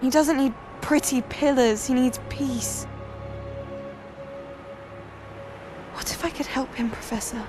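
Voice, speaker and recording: A woman's voice: high voice, moderate pace, natural voice. Woman acting determined young witch over music. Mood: pained, pleading. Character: determined young witch and professor, fervent, grieving for family. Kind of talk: dramatic video game voice acting. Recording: orchestral music behind the voice.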